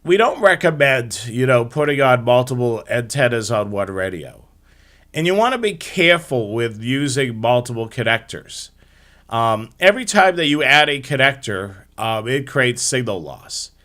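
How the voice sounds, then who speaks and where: Bright, forceful man presenting a slideshow. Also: mid-range voice, lively intonation, moderate pace. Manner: explanatory, step-by-step. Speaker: host of a live hobbyist radio show.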